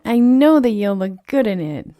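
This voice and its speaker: A woman's voice: mid-range voice, sing-song, moderate pace, warm tone, no room sound, studio quality, pitched above the performer's own voice, close to an everyday voice. A woman voicing kind, eager little children in a storybook, with an exclaiming, sing-song delivery. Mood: generous. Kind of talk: animated storybook read-aloud.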